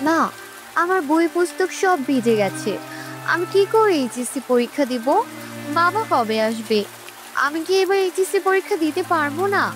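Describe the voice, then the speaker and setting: An anxious teenage schoolgirl voiced by a woman in a cartoon tale, with a plaintive, high voice. Music and rain play clearly behind her. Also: expressive, moderate pace. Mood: distressed. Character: distressed teenage schoolgirl daughter, bookish and anxious. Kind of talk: cartoon story dialogue.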